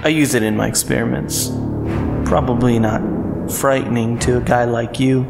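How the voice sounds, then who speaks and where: A man's voice: medium pitch, steady tone, moderate pace, vocal fry, natural voice. Villain doctor, male actor, audio drama: creepy, smug, controlled taunts, reverberant, music bed. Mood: taunting. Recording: long reverb, loud music behind.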